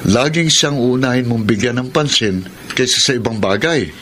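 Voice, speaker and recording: An actor playing a practical office friend who gives cautions, in a Filipino radio drama with a Manila Tagalog accent. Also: male voice, medium pitch, expressive, normal speed, a bit bright, close to an everyday voice. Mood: advising, cautioning. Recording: faint noisy ambience.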